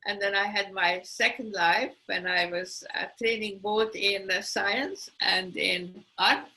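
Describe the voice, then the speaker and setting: An older woman introducing herself as a curator, hesitant and full of pauses. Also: medium pitch, steady tone, sharp tone, rough recording. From Hungary. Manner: hesitant, many uhs. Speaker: curator guest. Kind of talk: self-introduction.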